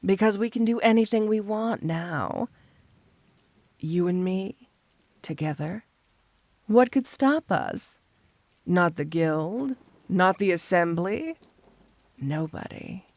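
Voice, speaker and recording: Slow, creaky, flirty supervillainess, acted by a woman. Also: lowish voice, expressive, no room sound. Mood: flirty, tender.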